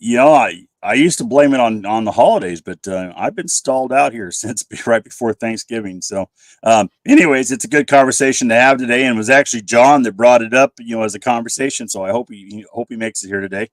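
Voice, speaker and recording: A man's voice: medium pitch, fairly quick, dry recording. Casual male host, scratchy with a cold. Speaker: host of a panel livestream. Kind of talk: casual chat, hosting.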